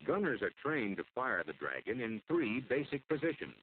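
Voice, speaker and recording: An American man delivers formal, measured scripted narration for an instructional military training film. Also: mid-range voice, sing-song, breathy edge. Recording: muffled phone-like old film soundtrack.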